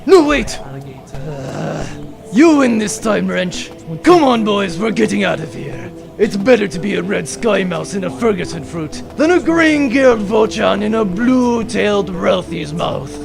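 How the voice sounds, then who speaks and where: A man's voice: high voice, very animated, a little slow, gravelly, music in the background, exaggerated voice. A man playing a pompous, blustering space villain with flowery, theatrical speech in a sci-fi comedy audio drama. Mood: defeated, vengeful.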